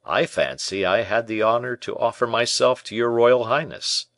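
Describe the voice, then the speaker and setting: A man reading a storybook part as a courtly, devoted conspirator, formal, deferential and persuasive in measured rhetoric. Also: mid-range voice, fairly bright tone, no room sound, lightly acted. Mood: firm, devoted.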